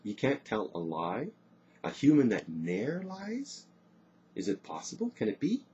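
A man's voice: on the low side, expressive, normal speed, muffled recording, a little hiss or hum, natural voice. A man voicing a proud, volatile, centuries-old sorceress with grandiose archaic dialect in a storybook tale. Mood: puzzled.

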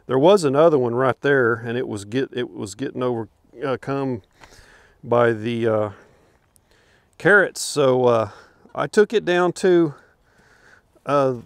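An older man wanders through his backyard garden, talking from behind a handheld camera. His voice is gravelly and sits at an ordinary pitch, and it comes across dry and close despite the open air. He rambles easily, with plenty of fillers and quiet chuckles. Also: a little slow, long pauses, no echo. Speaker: homesteader showing his garden. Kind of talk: casual garden walkthrough. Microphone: handheld camera, speaking from behind it.

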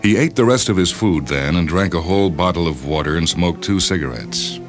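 A man narrating a science fiction short story in a measured, dramatic reading with deliberate pauses. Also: low-pitched, animated. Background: music behind the voice.

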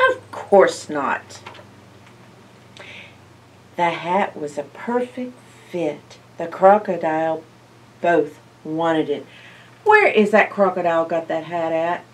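Older woman, children's story reader, slow pace, very lively intonation, slightly distant mic. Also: medium pitch. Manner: expressive, asks listeners questions, animated reactions. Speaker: library storyteller. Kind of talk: read-aloud picture book for young children. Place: indoor library, seated by bookshelves.

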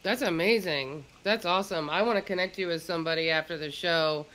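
Female host, middle-aged. Bright, punchy voice, informal and effusive, chatting on a live video call. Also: fairly low pitch, a little fast, low-quality recording. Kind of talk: casual hosting chat. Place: indoor room, video call.

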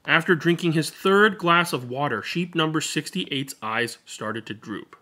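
A man in his thirties doing an expressive picture-book read-aloud with casual commentary. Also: fairly high pitch, unhurried. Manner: expressive, animated storytelling. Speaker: read-aloud storyteller and host. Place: small indoor room at home.

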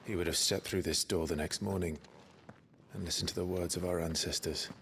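Deep, brooding British swordsman. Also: steady tone, fairly quick, creaky, fairly bright tone, a little hiss or hum. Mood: grave. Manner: grave, terse, intense. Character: brooding, driven young swordsman and sworn shield of his brother. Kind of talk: video game cutscene acting.

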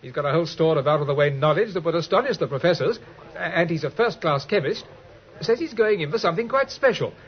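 A man's voice: fairly high pitch, animated, fast, lightly acted. A British actor playing a cheerful, chatty young Victorian medical man in a 1950s radio drama. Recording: muffled 1950s radio recording.

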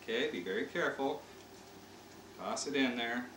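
An American man with a mid-pitched voice presents a casual cooking demonstration in a playful, step-by-step way. He is recorded on a home camcorder in an echoey kitchen, with clear noise around his voice. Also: lively intonation, moderate pace. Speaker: father hosting a home cooking show. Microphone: camcorder mic a few feet away.

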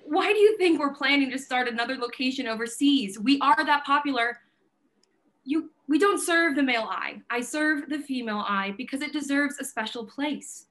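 Woman acting high-voiced, bossy gallery owner. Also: normal speed, a bit bright. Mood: angry, exasperated. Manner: assertive, emphatic, declarative. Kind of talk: virtual staged play reading. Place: plain indoor room, video call.

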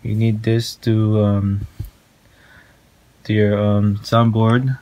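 Halting, flat-toned man with background hiss. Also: lowish voice. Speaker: tutorial presenter. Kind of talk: casual hands-on tutorial. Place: small room at home, indoors. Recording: noisy handheld home recording.

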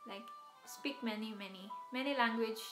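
A woman's voice: on the high side, normal speed, roomy sound, music in the background. A young woman with a Filipino accent chatting casually with live viewers, often laughing and restarting her words. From Philippines. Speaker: streamer. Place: small room indoors.

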